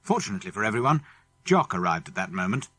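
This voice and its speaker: Male British audiobook narrator, children's adventure; husky tone, very animated, expressive delivery. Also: lowish voice, fast. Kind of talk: scripted children's story narration.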